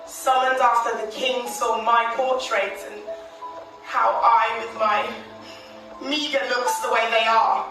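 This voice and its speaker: A dramatic young Tudor queen with a fairly high voice, telling her tale deliberately in a stage musical. She sounds far off in a very echoey hall with music behind. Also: flat delivery, pushed and bright. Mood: mock tragic. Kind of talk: musical theatre dialogue.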